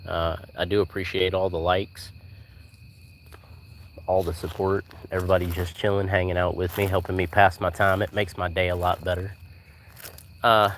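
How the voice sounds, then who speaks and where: A man's voice: low-pitched, level tone, normal speed, long pauses, fairly bright tone, slight background noise. A man in his forties chatting on a casual live stream while walking outdoors at night, easygoing and rambling, answering viewers by name. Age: 40s. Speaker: livestream host.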